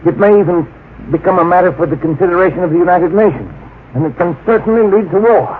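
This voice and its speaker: A man's voice: high-pitched, fast talker, close to an everyday voice. An actor playing a blustering, patriotic expedition man in an old-time radio drama, earnest and emphatic. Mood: indignant warning. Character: blustering, patriotic male member of a scientific expedition. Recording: tinny, noisy vintage radio recording.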